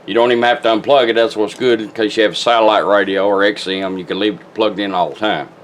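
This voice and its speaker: A man's voice: fairly low pitch, steady tone, fast, slight background noise. A man talking casually and plainly as he demonstrates a product step by step, in a folksy, instructional way. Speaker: car radio restorer demonstrating a product.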